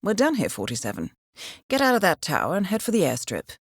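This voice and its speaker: Composed, expressive spy handler, low-voiced. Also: female voice, fast talker, dry recording, clean studio sound, exaggerated voice. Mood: composed, businesslike. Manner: composed, formal, clipped, dryly wry. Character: composed, dryly witty female intelligence handler guiding an assassin. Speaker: mission handler. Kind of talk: scripted video game briefing voice acting.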